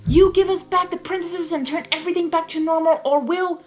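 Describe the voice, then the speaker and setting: A woman's voice: very high-pitched, fairly even intonation, fairly quick, a little mellow, soft background music, pitched above the performer's own voice. A woman voicing a serious female unicorn pony leader, firm and commanding, in a story reading. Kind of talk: fan fiction character reading.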